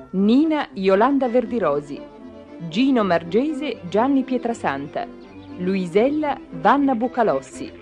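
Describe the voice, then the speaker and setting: Lively-pitched woman radio announcer. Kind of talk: formal scripted credits announcement.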